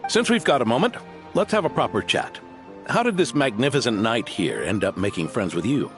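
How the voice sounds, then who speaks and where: An actor playing a shrewd, teasing older knightly mentor in a video game, with wry, probing, folksy speech. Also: male voice, mid-range voice, very animated, a little fast. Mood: wry interest. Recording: music under the voice.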